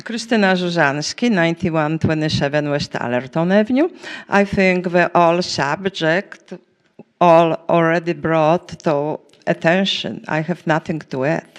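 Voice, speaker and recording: Man, resident commenting, resonant clear tone, slightly high pitch, brief public statement. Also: measured pace. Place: council meeting, at a microphone.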